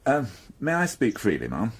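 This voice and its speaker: A husky, world-weary police inspector. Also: male voice, mid-range voice, wildly swooping pitch, moderate pace. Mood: indignant, firm. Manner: dry, grumbling, sardonic. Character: world-weary, stubborn veteran male police inspector, dryly sarcastic. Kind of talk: radio drama acting.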